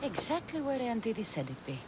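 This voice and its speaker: A woman's voice: a little high, big pitch swings, a little fast, background noise. An actress playing a tough, sardonic young Greek mercenary woman in a video game cutscene, dry and sceptical in manner. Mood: wry, pleased.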